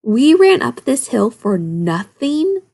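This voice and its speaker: A woman's voice: a little high, very animated, takes it slowly, creaky, dark tone, pitched above the performer's own voice, full character voice. A woman voicing agitated, winded villagers with an urgent, scolding manner in a storybook read-aloud. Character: agitated, winded villagers chasing after a wolf.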